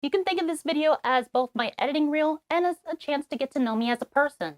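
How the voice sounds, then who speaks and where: High-pitched voice reading a scripted reel. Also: a little hiss or hum. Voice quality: high-toned voice. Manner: read aloud, measured and scripted. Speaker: job seeker reading an editing reel. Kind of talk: recorded self-introduction and slate reading.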